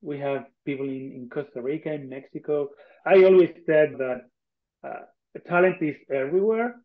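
A man's voice: a little high, moderate pace. A man from Argentina with an Argentine accent speaks English as a second language, conversationally and earnestly as a podcast guest, often repeating phrases for emphasis. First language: Spanish. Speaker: interview guest, tech company co-founder. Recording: thin phone-like online call audio.

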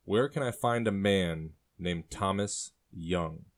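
A stern young traveler in a historical story voiced by a male narrator, low in pitch and direct. He is guarded and proud, wasting few words. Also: takes it slowly. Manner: plain, direct, terse.

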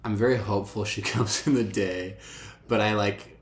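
A man in his twenties co-hosting a podcast, relaxed and playful, laughing often. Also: fairly low pitch, moderate pace. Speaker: podcast co-host. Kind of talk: casual chat. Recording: echoey room with faint hiss.

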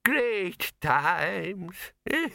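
A doddering ancient wizard, high and wavering. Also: male voice, big pitch swings, very slow, creaky, pushed and bright, no echo, studio quality, exaggerated voice. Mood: gleeful. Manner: wheezy reminiscing, chuckling, trailing off. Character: very old rambling male wizard in a wheelchair.

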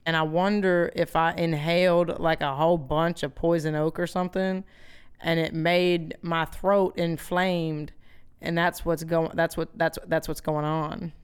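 A woman's voice: low-pitched, level tone, measured pace, clear, resonant tone. A woman co-hosting a podcast in casual comic chat, telling rambling stories in slangy, joking language. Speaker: podcast co-host.

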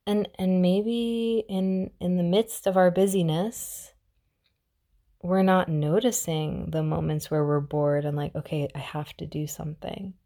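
A young woman speaks slowly in a lowish voice. She hosts a mindfulness show in a chatty, reflective way, often restarting her sentences and sometimes softening to a whisper. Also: fairly even intonation. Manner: chatty with frequent restarts, drops to soft whispers. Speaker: mindfulness podcast host. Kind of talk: casual reflective monologue.

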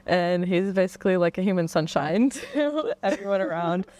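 A woman's voice: on the low side, animated, normal speed, darkish tone, no room sound. A woman hosting a podcast interview in an upbeat, effusive way. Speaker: podcast host.

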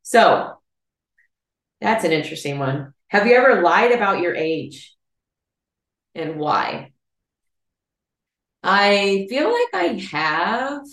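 A woman's voice: mid-range voice, sing-song, slow pace, pauses often, a bit bright. A woman in her forties hosting a casual chat, laughing often and thinking aloud. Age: 40s. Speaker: podcast host. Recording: roomy, distant mic.

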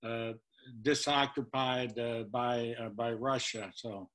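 A man in his eighties, born in Lithuania, answering interview questions about his childhood, with many pauses and fillers. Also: mid-range voice, steady tone. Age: 80s. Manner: halting, many uh fillers, trails off quietly. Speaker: guest.

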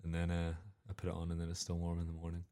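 A man's voice: sits low, completely flat delivery, very fast, dark tone. A young man co-hosting a student radio show, chatting casually and rambling, correcting himself and joking. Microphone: studio microphone.

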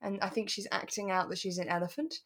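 Woman, average pitch, podcast co-host, informal drama recap, playful, amused, conversational. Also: fairly even intonation, fast talker. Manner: playful, amused, chuckling often. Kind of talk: casual chat recapping a radio drama.

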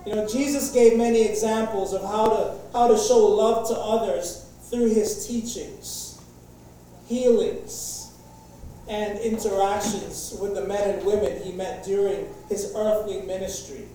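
A man preaches in an easygoing, conversational way, stumbling through fillers and restarts. His voice is mellow and comes from far off, with heavy echo. The recording sounds like it was made in a large church hall. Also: high voice, steady tone, unhurried, slight background noise. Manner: casual, jokey opening, many fillers and restarts. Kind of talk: sermon.

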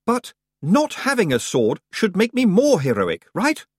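A man's voice: high voice, huge pitch swings, unhurried, husky, no room sound, studio quality, voice pushed up, heavily acted. A man voicing an earnest, somewhat anxious young male hero in an audiobook. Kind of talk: audiobook character voice.

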